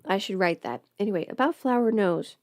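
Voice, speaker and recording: A woman's voice: medium pitch, animated, fairly quick, a little mellow, no room sound. Woman chatting playfully in a dry room. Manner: playful, absent-minded muttering with little sung sounds. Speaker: livestream host, voice performer. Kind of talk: casual livestream chat while doing makeup.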